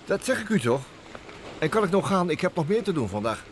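An actor playing a fussy, hesitant professor and inventor in an old radio play. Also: male voice, high-pitched, big pitch swings, brisk. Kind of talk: vintage Dutch radio play acting. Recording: old radio recording with noise.

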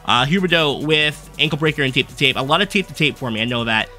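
A young man giving a casual, rambling rundown of his game team from his desk, his voice going hoarse as he is losing it. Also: medium pitch, lively intonation, pushed and bright, faint music behind. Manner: fast, rambling card-by-card rundown with fillers. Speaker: gaming YouTuber. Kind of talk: casual gameplay commentary. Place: small room at a desk.

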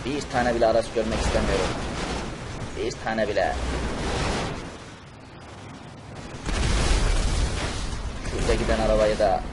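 A man's voice: fairly low pitch, fairly even intonation, quick pace, loud music behind the voice, lightly acted. A man voicing an emphatic male cartoon superhero in an amateur role-play over a video game. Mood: angry. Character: angry, unhinged male cartoon superhero on a rampage. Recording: noisy game audio with explosions and vehicles.

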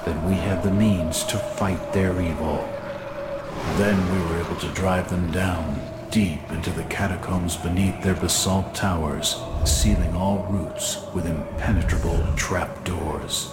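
A man playing a grim, wary investigator in a horror video game, narrating in a measured, ominous way. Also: low voice, steady tone, very noisy. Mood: grave, ominous. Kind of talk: dramatic game narration and inner monologue.

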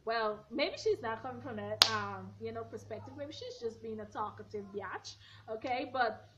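A young woman in her twenties, slightly hoarse, giving a blunt, sassy recap of a reality show from her bedroom, filmed on a front camera at arm's length. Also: on the high side, normal speed, noisy background. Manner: sassy, blunt, animated hand gestures. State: a bit hoarse. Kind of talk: casual opinionated recap. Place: bedroom at home, indoors. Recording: dull lo-fi webcam audio.

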